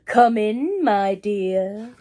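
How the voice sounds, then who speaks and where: A woman's voice: mid-range voice, lively intonation, extremely slow, dark tone. A woman voicing a big, hungry, scheming male wolf in a storybook reading, growling and sly.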